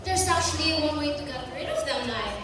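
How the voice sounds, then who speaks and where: A woman's voice: high voice, a little fast, natural voice. A teenage girl acting an outspoken, argumentative young daughter in a school play. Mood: arguing. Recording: echoey hall from far off, noisy.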